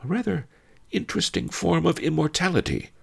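A man's voice: on the high side, animated, a little fast, creaky, slightly rough, pitched above the performer's own voice, heavily acted. A man voicing a wry, scheming old sage in an audiobook, thoughtful and dryly amused.

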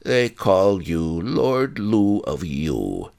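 A man's voice: low-pitched, wildly swooping pitch, extremely slow, creaky, a little mellow, pitched above the performer's own voice, exaggerated voice. A man reading an audiobook and voicing a huge, cold, tyrannical old grandmother who talks in curt, commanding growls.

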